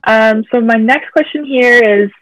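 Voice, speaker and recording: A woman's voice: a little high, unhurried, fairly bright tone, faint music behind, rough recording. A woman interviewer asking questions in a hesitant way, with many fillers. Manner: hesitant, filler-heavy phrasing.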